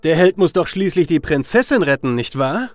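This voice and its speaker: A man acts out a reckless, curious fantasy hero for an audio play. He speaks in a slightly raised pitch with theatrical, measured phrasing. Light music plays behind him. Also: very animated, no echo. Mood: confident. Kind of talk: fantasy audio drama acting.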